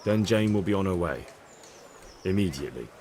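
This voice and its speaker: Game role: young medieval peacekeeper, earnest, lower-pitched, very varied intonation. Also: male voice, expressive, normal speed, a little mellow, slight background noise. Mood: persuasive. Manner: earnest, questioning. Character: young man, earnest peacekeeper, calm and persuasive. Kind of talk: video game voice acting.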